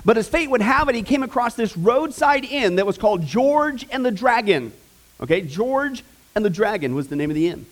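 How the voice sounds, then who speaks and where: High-voiced joking preacher man. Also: expressive, normal speed. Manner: folksy, joking asides to the congregation. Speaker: pastor. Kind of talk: sermon with a told joke. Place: church sanctuary.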